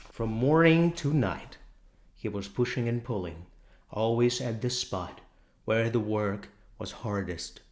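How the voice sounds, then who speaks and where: Slow, low-voiced male storyteller. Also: a little mellow. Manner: measured, unhurried storytelling with deliberate pauses. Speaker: audiobook narrator. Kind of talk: scripted literary narration.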